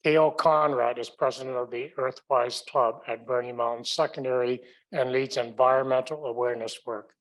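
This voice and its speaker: A man reads a scripted news roundup as a school trustee. His voice sits at an ordinary middle pitch, and his delivery is formal and measured. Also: rough recording.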